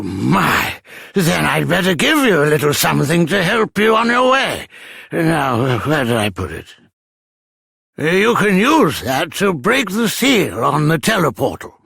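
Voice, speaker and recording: An actor playing a fussy, excitable but kindly old eccentric in a fantasy video game, speaking in a flustered, exclamatory way. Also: male voice, high voice, creaky, breathy edge, dry recording, clean studio sound, heavily acted. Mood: fussy, helpful. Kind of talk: scripted fantasy game voice acting.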